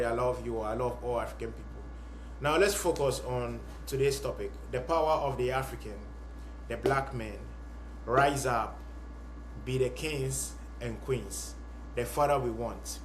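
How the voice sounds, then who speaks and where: A man's voice: medium pitch, slight background noise. A young man from Ghana and Sierra Leone speaking to camera in an earnest, emphatic vlog monologue, repeating phrases for effect. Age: young adult. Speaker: vlogger. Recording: echoey room.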